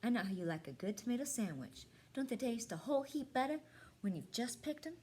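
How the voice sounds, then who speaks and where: A woman voicing a kindly, gently teasing Southern grandmother in a storybook, folksy and coaxing. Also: on the high side, lively intonation, a little fast, slight background noise, close to an everyday voice. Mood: warm, folksy. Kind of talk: storybook read-aloud.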